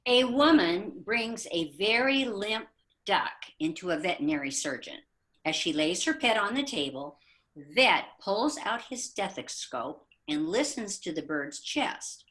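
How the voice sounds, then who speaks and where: A woman narrating a short comic story for a readers theater program. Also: medium pitch. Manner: slow, expressive storytelling.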